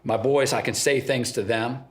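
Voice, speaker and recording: A man's voice: mid-range voice, steady tone, moderate pace. A middle-aged man from eastern Ohio with a slight Southern-tinged local accent, speaking plainly and earnestly as a guest in a casual interview. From eastern Ohio, USA. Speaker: guest, father of three.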